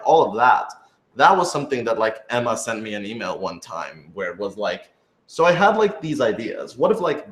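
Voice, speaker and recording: A low-pitched man talks in a roomy, echoing space. He speaks as a writer on a casual Q&A panel, rambling and working out his thoughts aloud as he goes. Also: fairly bright tone. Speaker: writer and producer of an audio drama. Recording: roomy, echoey room sound.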